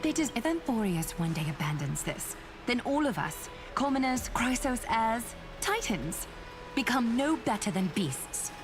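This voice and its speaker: A woman's voice: a little high, very animated, unhurried, over music. An actress playing a graceful, spirited noblewoman weaver in a video game, poised and formal. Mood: solemn.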